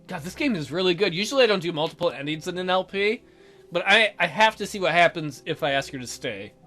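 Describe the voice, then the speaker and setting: A woman's voice: fairly low pitch, animated, fast talker, fairly bright tone. A woman hosting a let's play, reading a game's narration aloud with casual commentary. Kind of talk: animated game read-aloud with casual commentary. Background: music.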